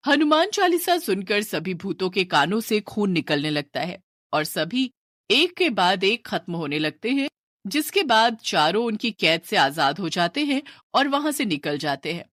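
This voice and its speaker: A woman's voice: medium pitch, big pitch swings, a little slow, fairly bright tone, no room sound, studio quality. A woman narrating a scripted horror story in a dramatic storytelling style.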